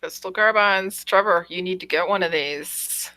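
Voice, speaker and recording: A woman's voice: on the low side, normal speed, pushed and bright, no room sound, low-quality recording. A woman co-hosting a podcast, chiming in casually with short remarks. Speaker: podcast co-host. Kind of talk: casual chat, brief interjections.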